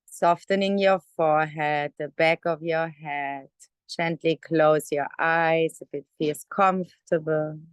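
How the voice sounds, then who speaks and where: A woman leading a guided meditation in a gentle, unhurried manner with many pauses. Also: sits low, fairly even intonation, smooth, no echo. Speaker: meditation teacher. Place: online video call session.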